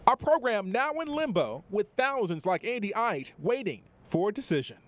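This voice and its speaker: A man's voice: high voice, sing-song, moderate pace. An American man narrating a scripted television news report as a reporter.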